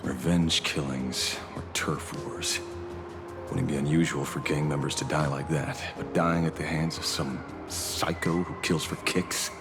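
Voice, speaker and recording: A man's voice: rumbling low, steady tone. An actor playing a theatrical, slightly awkward male detective with a vengeful streak in a video game. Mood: grave, resolute. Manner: theatrical, swings from grave and measured to flustered stammering. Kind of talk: dramatic video game character acting. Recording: background music under dialogue.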